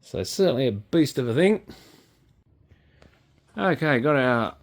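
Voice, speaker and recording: A man's voice: mid-range voice, lively intonation, measured pace. A man with an Australian accent giving casual, unscripted hands-on commentary as a repairer, musing in an understated way. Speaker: electronics repairer presenting his channel.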